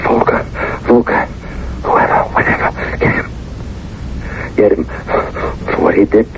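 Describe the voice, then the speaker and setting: An actor playing a dying, vengeful prisoner of war in a radio drama, gasping out insistent demands in a breathy hiss. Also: male voice, fairly low pitch, fairly even intonation, measured pace, lightly acted. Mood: dying, pleading. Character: dying, vengeful male prisoner-of-war, haunting his friend. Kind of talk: 1970s radio drama acting. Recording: muffled, noisy old radio broadcast.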